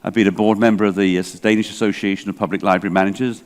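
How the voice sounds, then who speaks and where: A man's voice: on the low side, fairly even intonation, racing. A man in his sixties with an English accent, giving a chatty, digressive conference talk full of fillers. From England. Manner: chatty, digressive, self-deprecating, many fillers. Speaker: library association director. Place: large hall. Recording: long hall reverb.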